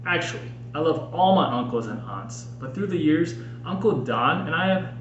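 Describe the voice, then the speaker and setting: An American man reads his own personal writing aloud in an earnest, expressive way with deliberate phrasing. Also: fairly high pitch, lo-fi sound. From Minnesota, United States. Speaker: podcast host and author reading own book. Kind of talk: audiobook-style personal reading. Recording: roomy echo with faint background noise.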